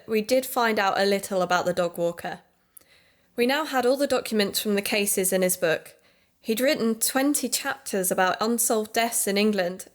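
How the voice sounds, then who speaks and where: An actress playing an earnest, polite investigative podcaster, in dialogue and narration for an audio drama. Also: female voice, medium pitch, lightly acted.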